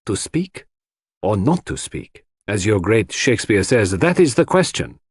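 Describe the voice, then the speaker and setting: A grave older foreign detective from a mystery audiobook, performed by a male narrator. He speaks formally and theatrically, with a wide-swinging pitch and a slightly husky, close dry sound. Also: sing-song, unhurried, dry recording, full character voice. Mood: grave, dramatic. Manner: solemn, formal, foreign phrasing.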